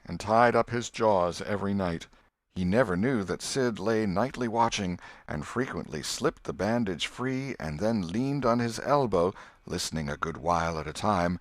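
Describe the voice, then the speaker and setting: Expressive low-voiced male audiobook narrator. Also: no room sound. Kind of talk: scripted storybook narration.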